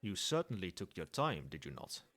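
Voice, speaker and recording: A man's voice: sits low, big pitch swings, normal speed, fairly bright tone, exaggerated voice. A man playing a proud old dragon in human form in an ASMR roleplay, speaking in formal, lofty and teasing phrases, with fondness. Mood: brisk, commanding.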